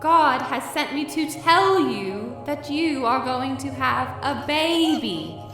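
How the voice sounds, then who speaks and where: A woman playing a serene, solemn angel messenger in a children's audio drama, proclaiming slowly with heavy reverb on the voice. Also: high voice, lively intonation. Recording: echoing long reverb over music.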